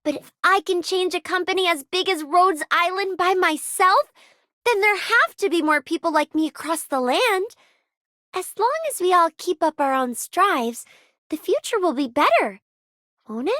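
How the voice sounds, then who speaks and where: A determined young idealist in a video game. Her voice is extremely high and expressive, with a dry close sound. She comes across earnest and hopeful, with some self-doubt. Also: moderate pace, no echo. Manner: earnest, sincere. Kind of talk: game character voice acting.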